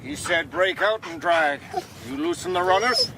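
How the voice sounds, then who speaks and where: An actor playing a mean, sneering frontier gambler, a taunting bully in a radio drama. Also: male voice, high-pitched, sing-song, moderate pace, creaky, exaggerated voice. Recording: noisy outdoor ambience.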